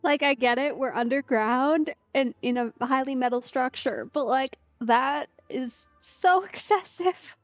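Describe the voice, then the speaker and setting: A woman's voice: sits high, a little slow, hardly any pauses, dry recording, muffled recording. A woman doing casual, chatty let's-play commentary as a streamer, musing aloud informally.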